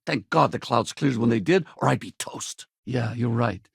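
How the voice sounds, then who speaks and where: A man playing an easygoing, loyal space pilot companion in a video game, husky and casual, with a wry, battle-ready streak. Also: medium pitch, normal speed, no echo, studio quality, exaggerated voice. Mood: earnest. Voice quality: gravelly, husky. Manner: casual, colloquial, conversational.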